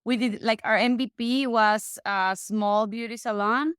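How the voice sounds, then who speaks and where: Woman in her 40s, super clear smooth voice, dry close sound, chatty with lots of restarts. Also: on the high side, steady tone, measured pace, a bit bright, dry recording. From Mexico. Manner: chatty, frequent restarts and self-corrections. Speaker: guest, real estate investor. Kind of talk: casual interview conversation.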